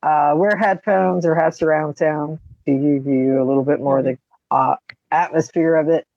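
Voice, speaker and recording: A woman's voice: sits low, fairly even intonation, moderate pace, no room sound. A woman co-hosting a podcast, giving emphatic opinions in casual chat over a slightly dull, lo-fi microphone. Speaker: podcast host. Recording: slightly dull, lo-fi mic sound.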